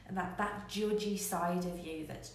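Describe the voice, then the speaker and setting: Echoey, lower-pitched woman coaching online. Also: fairly even intonation, a little slow. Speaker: business coach hosting a live online show. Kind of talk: live coaching talk to online viewers. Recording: very echoey room, faint noise.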